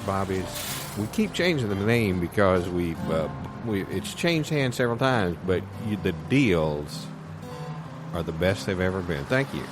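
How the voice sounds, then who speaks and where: A man's voice: low-pitched, very animated, a little slow. A man improvising a folksy, bumbling used car lot salesman who stumbles through his parody radio ad. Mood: bumbling hard sell. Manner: bumbling salesman patter, stumbling over big words. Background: guitar music.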